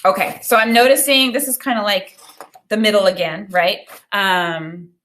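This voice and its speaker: A woman's voice: medium pitch, normal speed, fairly bright tone, a little hiss or hum. Woman teacher reading aloud. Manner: explanatory, checks understanding with "right?". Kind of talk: read-aloud of a children's picture book with teaching commentary.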